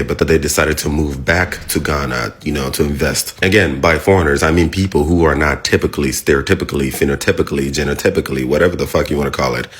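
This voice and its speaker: A young man from Nigeria with a mix of Nigerian and American accent, chatting casually to his viewers and asking their opinion. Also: very deep voice. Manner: chatty, repeats shout-outs, laughs and teases near the end. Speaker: vlogger asking viewers a question. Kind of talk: casual direct-to-camera talk.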